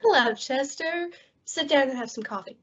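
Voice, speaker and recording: A woman's voice: high-pitched, expressive, moderate pace, a bit bright. A woman acting an outspoken, concerned frontier friend in an old-time radio western drama. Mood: concerned, wry. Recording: dull, lo-fi audio.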